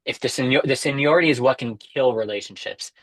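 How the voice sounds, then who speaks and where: Male, young adult, quick pace, mid-range, organized explainer, informal video-call delivery. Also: medium pitch, rough recording. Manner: structured, explanatory. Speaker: webinar guest, recruitment technology expert. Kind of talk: informal explanatory webinar talk. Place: indoors against a dark backdrop, on a video call. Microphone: wireless earbuds on a video call.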